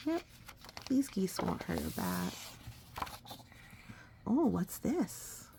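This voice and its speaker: A woman reading a picture book aloud to a young child, expressive and coaxing, often dropping into a breathy whisper and adding chatty asides. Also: mid-range voice, extremely slow, noisy background. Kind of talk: storybook read-aloud with conversational asides to a child.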